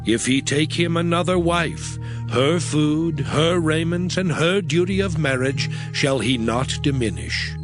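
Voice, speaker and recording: An actor playing a solemn biblical prophet and lawgiver, declaiming scripture in a measured dramatized reading. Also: male voice, on the high side, lightly acted. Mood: measured, stern. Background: music.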